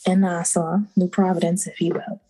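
A young woman in her twenties from the Bahamas, a podcast guest speaking in a relaxed way, with ums and soft laughs. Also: on the low side, normal speed, low-quality recording. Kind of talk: casual interview.

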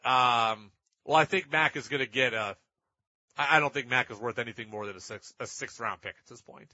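A man's voice: medium pitch, fairly quick, pushed and bright, no echo, lo-fi sound. A man hosting sports talk radio, giving opinionated commentary in an emphatic way and repeating points for effect.

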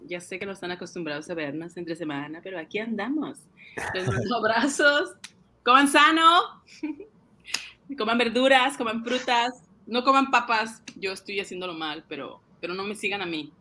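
A woman's voice: medium pitch, moderate pace, sharp tone, a little hiss or hum. A woman with a Mexican accent, chatting casually and playfully as a livestream co-host. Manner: playful, joking. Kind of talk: casual chat.

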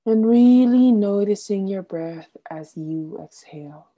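A woman guiding a relaxation meditation as an instructor, gently and soothingly, with deliberate instructions and softly trailing phrase endings. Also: medium pitch, lively intonation, lo-fi sound.

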